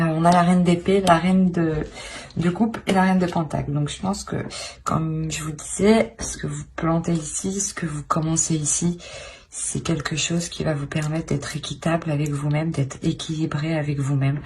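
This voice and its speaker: A woman's voice: deep voice, slight background noise. A woman giving a casual tarot reading to her viewers, hesitant, with many fillers and restarts. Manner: hesitant, many "euh" and restarts, soft-spoken asides. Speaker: tarot reader.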